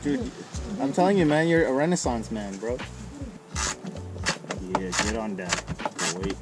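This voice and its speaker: A man's voice: medium pitch, big pitch swings, measured pace, over loud music. A man hosting a casual vlog in jokey, slangy bro talk. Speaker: vlog host filming a truck build.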